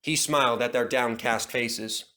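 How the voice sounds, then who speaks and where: A man's voice: mid-range voice, fairly even intonation, a little slow, pushed and bright. A man narrating a scripted children's story as a storybook reader.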